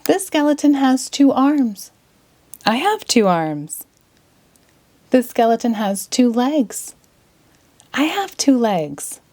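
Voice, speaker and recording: A woman acts out an earnest, impatient young girl from a storybook. Her pitch is high and very lively, and she spells out each phrase with emphasis. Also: heavily acted. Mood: excited. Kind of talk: children's storybook read-aloud.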